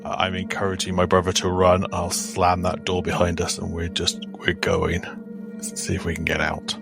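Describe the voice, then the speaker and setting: A man playing a tabletop game, describing what his character does, with a creaky voice. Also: low-pitched, completely flat delivery, normal speed. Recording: music bed under the voice.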